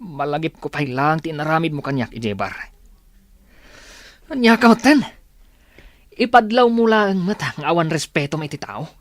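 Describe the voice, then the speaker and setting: An actor playing a defensive, blustering young boyfriend with an Ilocano accent in a radio drama. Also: male voice, a little high, sing-song, moderate pace, pauses often, no room sound, clean studio sound. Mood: indignant, scolding.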